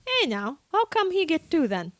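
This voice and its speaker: A woman's voice: extremely high pitch, sing-song, normal speed, a bit bright, dry recording, clean studio sound, voice pushed up, full character voice. A woman playing a folksy, blunt elf lady with an exaggerated Minnesotan accent in a comedy radio drama. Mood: indignant.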